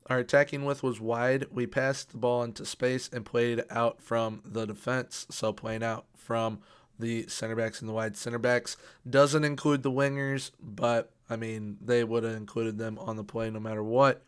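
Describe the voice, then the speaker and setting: A man's voice: medium pitch, little pitch movement, normal speed, smooth. A young man giving casual video game commentary with an upbeat, repetitive emphasis, his voice worn from recently losing it. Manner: upbeat, repeats words for emphasis. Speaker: video game tactics youtuber.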